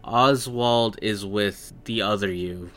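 A man narrating a tabletop roleplaying game as game master, improvising his descriptions in halting phrases. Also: lowish voice, a bit bright. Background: background music.

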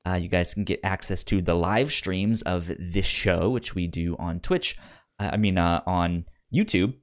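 A man's voice: low-pitched, a little slow. A man hosting a podcast in casual, informal chat, repeating words for emphasis. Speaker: podcast host.

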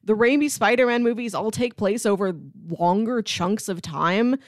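A woman with a medium-pitched voice talks casually as a podcast co-host about films. She is enthusiastic and analytical, leaning on key words for emphasis. The sound is dry, with little room around it. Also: moderate pace, dry recording. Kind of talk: casual film discussion.

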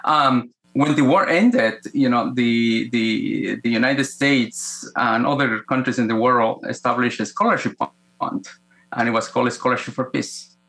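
A man speaks with a Guatemalan Spanish accent in a bright, forceful voice of medium pitch. He tells personal stories as a podcast guest. His phrasing is halting, with repeated words and frequent restarts. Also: gravelly, poor audio. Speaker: podcast guest, executive coach. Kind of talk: conversational personal storytelling.

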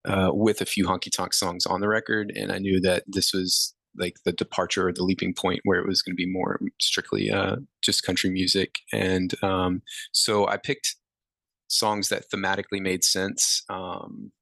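A man in his thirties, a musician guest, answering interview questions in a relaxed, rambling way with many fillers. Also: sits low, moderate pace, vocal fry, no echo.

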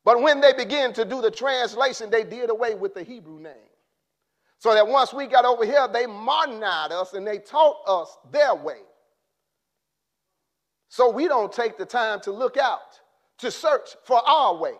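A man preaching a sermon in an impassioned way, rising to shouts and then dropping low. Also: lively intonation, a little slow.

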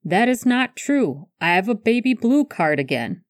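A woman's voice: medium pitch, very animated, normal speed, no echo, natural voice. A woman voicing an anxious, self-deprecating man with a dry, grumbling manner in an audiobook. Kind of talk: audiobook fan fiction character dialogue.

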